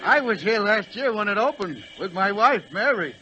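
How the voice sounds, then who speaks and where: Timid, high-pitched eager visitor. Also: male voice, sing-song, normal speed. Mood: nervous, eager. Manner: hesitant, deferential, wide-eyed. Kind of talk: 1940s radio play acting. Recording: noisy 1940s radio recording.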